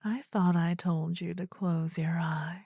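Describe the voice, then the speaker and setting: An adoring, possessive demon queen, acted by a woman, whispers at close range in a fantasy ASMR roleplay. Her low voice is husky and breathy, with a gravelly creak. She speaks intimately and soothingly in formal phrases, tender with a menacing edge. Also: steady tone, measured pace, mellow, no room sound, exaggerated voice. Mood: soothing, protective. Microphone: close ASMR microphone, dry.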